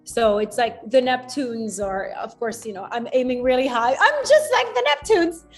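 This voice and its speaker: A woman's voice: fairly high pitch, animated, moderate pace, faint music behind. A woman, a musician answering interview questions in a reflective way, laughing easily and often restarting her sentences. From France. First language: French. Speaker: musician guest.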